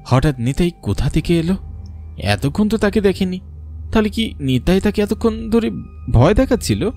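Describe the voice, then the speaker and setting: A man's voice: high voice, very animated, normal speed, warm tone, soft background music. A man narrating a scripted horror cartoon story in a dramatic storytelling style.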